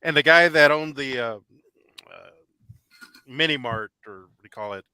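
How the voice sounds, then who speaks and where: A man's voice: mid-range voice, measured pace, pushed and bright, smooth, dry recording, poor audio. A man on a podcast panel, talking casually with the group.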